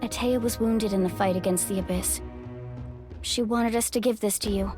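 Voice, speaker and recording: A woman's voice: mid-range voice, steady tone, moderate pace, natural voice. A woman voicing a devoted young female ally in a game, speaking sincerely and eagerly. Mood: earnest. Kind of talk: game voice acting. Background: game music.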